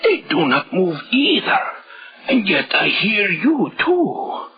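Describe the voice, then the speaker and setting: A man's voice: sits high, huge pitch swings, breathy edge, exaggerated voice. A man playing a formal, grave, anguished scientist in an old-time radio horror drama. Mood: grave, shaken. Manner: formal, halting, solemn delivery. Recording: muffled lo-fi old radio recording.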